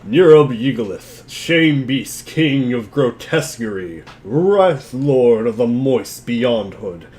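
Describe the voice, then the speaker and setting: A man's voice: medium pitch, animated, takes it slowly, darkish tone. A man giving a wry, theatrical dramatic reading of narration and dialogue, dropping into casual asides. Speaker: reader in a group dramatic reading.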